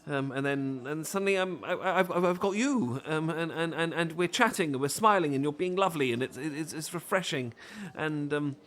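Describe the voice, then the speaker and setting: A man's voice: fairly high pitch, normal speed, hardly any pauses, fairly bright tone, lightly acted. A man with a British accent playing a flustered, bashful, adorkable romantic who stammers and rambles apologetically in an intimate ASMR roleplay. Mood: nervous, smitten. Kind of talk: intimate ASMR roleplay acting, addressed to listener.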